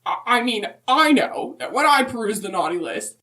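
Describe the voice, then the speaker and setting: A woman as centuries-old Christmas matriarch, highish voice, swooping intonation, chatty, opinionated, folksy, comic podcast interview. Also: very animated, moderate pace, bright, forceful tone. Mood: opinionated, emphatic. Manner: rambling, emphatic, folksy.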